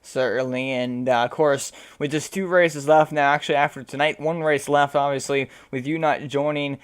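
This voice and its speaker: A man with a hoarse, fading voice interviewing sim racing drivers after a race, rambling with many fillers. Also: medium pitch, fairly even intonation, moderate pace. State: losing his voice.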